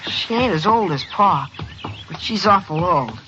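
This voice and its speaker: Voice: medium pitch, lively intonation, unhurried, sharp tone, soft background music. An actor playing an earnest young ranch boy in a radio western, naive and folksy, with a rural American accent. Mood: earnest, confiding. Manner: naive, folksy, plain-spoken. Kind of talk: old-time radio western acting. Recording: dull, noisy old radio recording.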